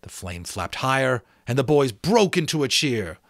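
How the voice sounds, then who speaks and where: A middle-aged man gives a dramatic storybook narration. His pitch is ordinary but moves expressively, and the recording is dry and close. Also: moderate pace, vocal fry, no room sound. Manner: theatrical, expressive. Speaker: amateur audiobook narrator.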